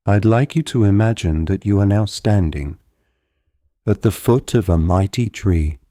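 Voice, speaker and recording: Deep, slow, soothing male meditation guide. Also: no room sound, studio quality. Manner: gentle, calm, deliberate, measured delivery. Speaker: guided visualisation narrator.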